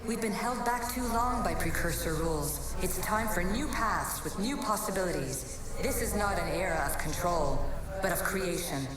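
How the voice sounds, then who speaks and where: An actress voicing a calm, knowing ancient female being in a video game, measured and persuasive. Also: lowish voice, crowd noise, close to an everyday voice. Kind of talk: video game cutscene acting. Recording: echoey distant voice over ambience.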